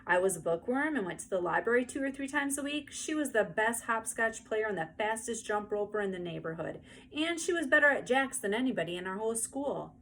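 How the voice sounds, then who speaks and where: A woman voicing a weary but firm mother in a storybook, measured and patient. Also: fairly high pitch, animated, fairly bright tone, slight background noise, pitched above the performer's own voice, poor audio. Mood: thoughtful.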